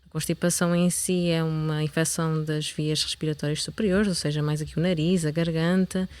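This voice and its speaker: Low, even-voiced Portuguese woman doctor. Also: no room sound. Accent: European Portuguese. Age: young adult. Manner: measured, with hesitations and repeated words. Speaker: doctor guest. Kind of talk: explanatory interview answers.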